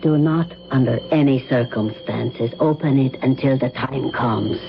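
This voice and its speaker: An actor playing a grave mystic advisor in a radio drama, speaking formally in a solemn, measured manner. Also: male voice, mid-range voice, creaky. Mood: grave warning. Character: grave, cautioning mystic advisor dealing in dark remedies. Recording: dull old radio broadcast, music behind.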